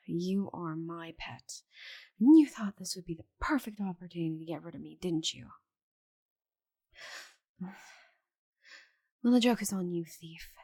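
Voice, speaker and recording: Woman acting a haughty, breathy, low-voiced female dragon. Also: a little mellow. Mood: accusing, indignant. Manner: haughty, dramatic, sighing. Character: proud stubborn female dragon cursed to shrink, imperious and bitter. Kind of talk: scripted audio roleplay monologue.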